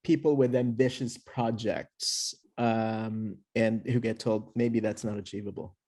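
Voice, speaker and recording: A man moderating a panel talk, asking long questions with many pauses and fillers. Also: fairly low pitch, fairly even intonation, a little mellow. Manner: halting, many fillers, encouraging.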